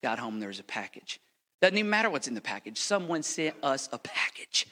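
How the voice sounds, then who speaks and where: A man from the United States, a missionary pastor preaching a passionate sermon to a congregation, swinging between loud appeals and hushed, quiet lines, then praying aloud. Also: mid-range voice, very animated, a little fast. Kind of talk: sermon with a prayer. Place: church sanctuary. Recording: reverberant hall.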